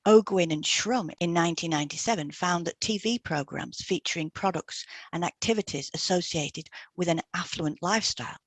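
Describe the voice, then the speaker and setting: A woman's voice: on the low side, dry recording. A woman co-hosting an educational podcast, reading from a prepared script. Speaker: podcast co-host, hypnotherapist.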